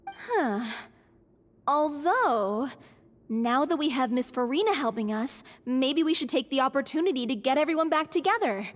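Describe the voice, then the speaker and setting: A woman's voice: sits high, lively intonation, moderate pace. A woman voicing an earnest young theater troupe member in a game cutscene, explaining things over background music. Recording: game soundtrack music behind.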